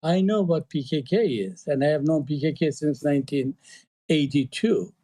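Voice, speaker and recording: A man with a Turkish accent, his voice mellow and a touch higher than most men's. He talks in a halting, casual way, full of fillers and restarts. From Eastern Turkey. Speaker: panelist. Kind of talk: casual discussion. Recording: online audio call.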